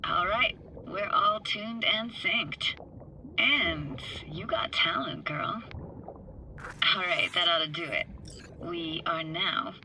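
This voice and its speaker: A woman's voice: lowish voice, slow, pushed and bright, slight background noise, full character voice, rough recording. An actress playing a wry, guarded young woman techie diver in a video game, with clipped, teasing, slangy phrasing. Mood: playful, focused. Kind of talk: video game voice acting, casual dialogue.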